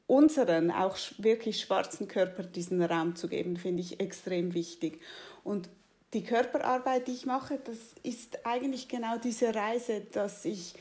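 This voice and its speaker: Woman host, lots of restarts and ums, thinking as she talks. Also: mid-range voice. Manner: hesitant, many restarts and fillers, warm enthusiasm; mixes in English phrases. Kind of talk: casual conversation.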